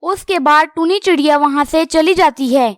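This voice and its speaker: A woman's voice: high-pitched, moderate pace, dry recording, clean studio sound. A woman narrating a scripted children's cartoon story.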